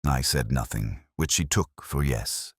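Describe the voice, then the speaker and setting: Man playing weary detective narrator, radio drama, rock-bottom pitch, solemn, measured, reflective, dry studio sound. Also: dry recording. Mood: weary, resigned. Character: weary, melancholy older male detective narrating his case. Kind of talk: scripted first-person dramatic narration.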